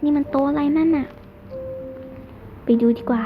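Young female acting vain schoolgirl, high voice, exclamatory, whiny drawn-out interjections, game drama, music behind. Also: phone-quality sound. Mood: indignant, nosy. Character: vain, sassy, grumbling schoolgirl.

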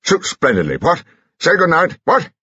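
A man voicing a stiff, gruff older general who talks in clipped fragments in a novel reading. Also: a little high, huge pitch swings, normal speed, bright, forceful tone, dry recording, lo-fi sound, voice pushed up, heavily acted. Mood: curt, brisk. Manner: clipped fragments, ending in "what?".

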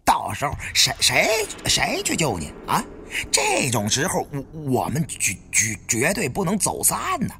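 A man's voice: fairly high pitch, huge pitch swings, unhurried, gravelly, bright, forceful tone, slight background noise, heavily acted. A man voicing a brash young tomb raider in an audiobook, stuttering through crude, taunting banter. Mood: urgent.

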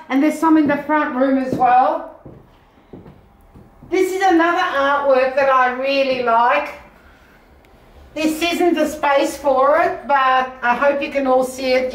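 A woman's voice: high voice, fairly bright tone. A middle-aged Australian woman giving a chatty, enthusiastic walk-through of auction items as the presenter. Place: small indoor rooms of an auction house. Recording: very echoey bare rooms.

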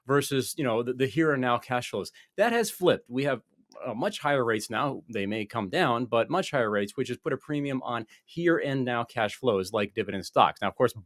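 A man's voice: medium pitch, dry recording, studio quality. A man in his forties, a guest on a video call, explaining investing with many restarts and fillers. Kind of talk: conversational interview. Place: small office with wall panels. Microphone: desk microphone on video call.

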